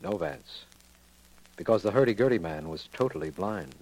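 Insistent district attorney, lively intonation. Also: male voice, fairly low pitch, normal speed, creaky, exaggerated voice. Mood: puzzled, insistent. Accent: American. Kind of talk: 1940s American radio drama acting. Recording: noisy 1940s radio recording.

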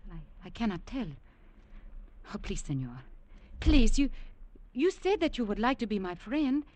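A woman's voice: a little high, big pitch swings, a little slow, a little mellow. An actress playing an earnest young woman servant in a 1950s radio drama. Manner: earnest and pleading. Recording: old radio broadcast.

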